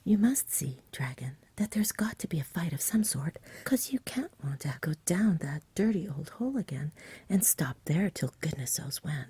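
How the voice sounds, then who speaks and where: A woman voicing an eager, blunt, practical young boy in a breathy whisper, brisk and no-nonsense. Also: deep voice, animated, mellow, dry recording, full character voice. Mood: insistent.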